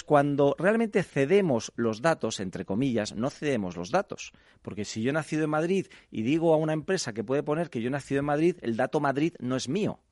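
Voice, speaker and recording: A man's voice: mid-range voice, no echo. Man explaining law in a dry studio. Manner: lecturing, builds arguments step by step. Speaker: legal expert guest. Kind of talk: explanatory radio discussion.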